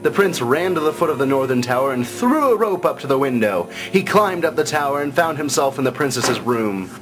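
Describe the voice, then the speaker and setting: Man, scripted fairytale narration, animated intonation, clear music bed. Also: medium pitch, brisk.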